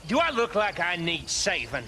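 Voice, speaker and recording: A slow, taunting American outlaw gang leader from a western game. His high voice swoops theatrically as he sneers and threatens, with faint noise behind him. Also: sing-song. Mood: mocking. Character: mocking, menacing outlaw gang leader, adult man. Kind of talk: video game western acting.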